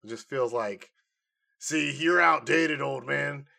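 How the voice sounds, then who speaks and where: A man with a mid-pitched voice talks as a podcast co-host in a loose review chat. He is opinionated and slangy, speaking in an informal, conversational way. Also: slow, vocal fry, sharp tone.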